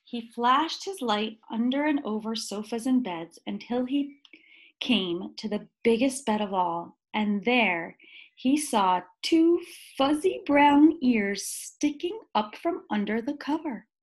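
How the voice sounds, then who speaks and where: A woman reading a picture book aloud to young children, expressively, with deliberate dramatic pauses and emphasis. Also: fairly high pitch, animated, low-quality recording.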